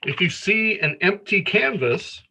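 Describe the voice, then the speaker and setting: A man reads a picture book aloud with expressive storytelling. His voice is bright and a little high, with faint noise and clicks in the recording. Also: measured pace, creaky. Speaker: story reader.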